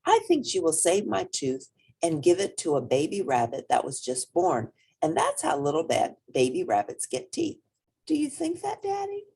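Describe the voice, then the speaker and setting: A woman's voice: lowish voice, sing-song, normal speed, vocal fry, dark tone, breathy edge, voice pushed up, exaggerated voice. Woman voicing an eager little girl rabbit, very swoopy. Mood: earnest wondering. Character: earnest, curious little girl rabbit in a storybook.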